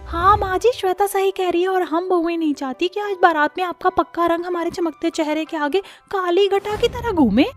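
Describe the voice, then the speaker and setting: A woman's voice: extremely high pitch, moderate pace, hardly any pauses, faint music behind, voice pushed up. A woman voicing a dramatic young bride with exaggerated, exclamatory cartoon delivery. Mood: snide. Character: shrill young bride, dramatic and easily spooked. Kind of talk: cartoon story dubbing.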